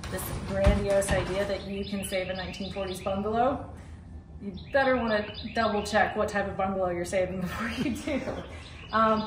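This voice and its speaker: A woman in her thirties giving a casual, explanatory walk-through tour as a homeowner, recorded at a distance inside a bare renovation site. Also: medium pitch. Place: indoors in a bare wood-framed house under renovation. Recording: roomy echo, distant mic, loud site noise.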